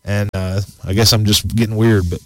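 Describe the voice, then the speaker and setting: A man's voice: lowish voice, flat delivery, fairly quick, no room sound. A man in his forties hosting a casual outdoors radio show, rambling and folksy with many restarts. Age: 40s.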